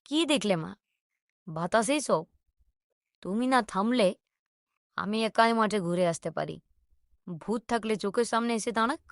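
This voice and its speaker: A woman's voice: mid-range voice, moderate pace, pauses often, dry recording, clean studio sound, close to an everyday voice. A woman voicing a bold, skeptical village boy in a cartoon, with a defiant, cocky manner. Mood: dismissive.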